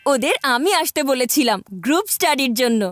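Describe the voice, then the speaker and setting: A woman voicing a cheeky, glib big-brother boy in a children's cartoon, boyish and smooth-talking. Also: high voice, lively intonation, a little fast. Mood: glib excuses. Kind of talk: Bangla children's cartoon dubbing. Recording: music behind the voice.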